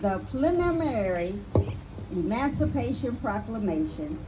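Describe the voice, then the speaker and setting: A woman reading scripted historical narration aloud in a deliberate, formal way. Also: a little high, big pitch swings. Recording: dull, very noisy live recording.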